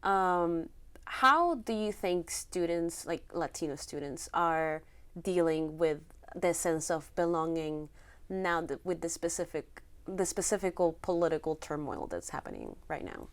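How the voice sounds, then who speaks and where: A young woman interviewing a student for a campus news show, asking soft, attentive questions. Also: lowish voice, expressive, unhurried.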